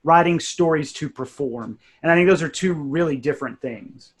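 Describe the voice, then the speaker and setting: A man's voice: on the high side, moderate pace, slightly rough. A man, a writer and narrator, casually explaining and reflecting on his creative process. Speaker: writer and narrator of audio drama.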